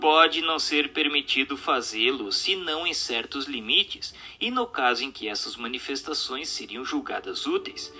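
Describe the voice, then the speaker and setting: A man with a Brazilian accent reading scripted narration in a formal, measured, didactic manner. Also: mid-range voice, lively intonation, sharp tone, soft background music.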